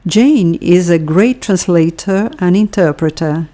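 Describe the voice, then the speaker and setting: A woman with a Brazilian accent leads a scripted language lesson. She reads each phrase very slowly and deliberately, leaving room for the listener to repeat it. Her voice is mellow and sits a little low. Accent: Brazilian Portuguese. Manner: slow, careful bilingual reading with pauses for repetition. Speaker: language teacher.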